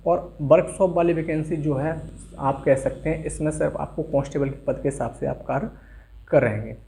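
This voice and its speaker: A man's voice: on the high side, darkish tone. A man giving an instructional exam briefing straight to his viewers, mixing English terms into his speech. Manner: instructional, addresses viewers directly. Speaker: exam-preparation YouTube host.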